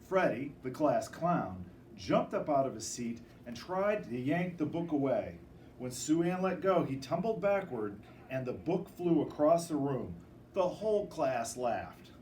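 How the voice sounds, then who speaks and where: Expressive male storyteller, animated, distant mic. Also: on the high side, measured pace, roomy sound. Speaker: storybook reader. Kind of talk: children's picture book read-aloud. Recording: distant microphone with faint background noise.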